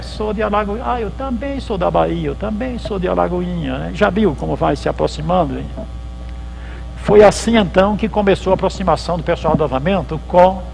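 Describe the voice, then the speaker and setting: A pastor gives a history lecture in a loose, storytelling way, slipping into little acted exchanges between people. His voice sits a bit high for a man and has a gravelly edge. A faint mains hum runs under the recording. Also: darkish tone, low-quality recording. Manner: conversational storytelling, acts out dialogues. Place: lecture hall at a theological symposium.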